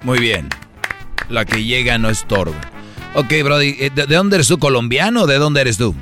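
A man's voice: mid-range voice, lively intonation, normal speed, over music. A man hosting a radio show with casual, opinionated, slangy comedic talk.

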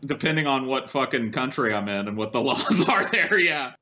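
American dude podcast cohost, tense sharp voice, sweary and skeptical, bit lo-fi sound. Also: male voice, medium pitch, very animated, moderate pace. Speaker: podcast co-host. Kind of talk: casual, profane chat.

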